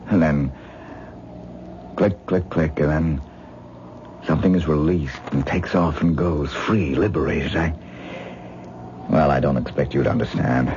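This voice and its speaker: A man's voice: extremely low pitch, measured pace, long pauses. An actor playing an intense, earnest man who lectures his wife on astral projection in a vintage radio drama. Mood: rapt, lecturing. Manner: dreamy, earnest, lecturing, gently condescending. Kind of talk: 1970s radio drama acting. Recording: muffled old radio broadcast with faint hiss.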